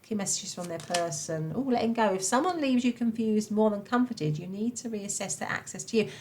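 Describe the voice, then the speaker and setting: A woman with a croaky, slightly nasal voice from a cold, chatting warmly and reassuringly through a pick-a-card tarot reading. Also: mid-range voice, fairly quick. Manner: chatty, reassuring, many fillers. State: croaky voice from a cold, blocked nose. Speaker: tarot reader.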